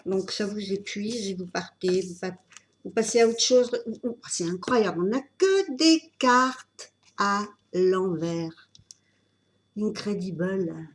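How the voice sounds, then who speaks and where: A woman with a cold giving an unscripted tarot reading, talking in a halting way with many fillers and restarts. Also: mid-range voice, expressive. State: has a cold. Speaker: tarot reader.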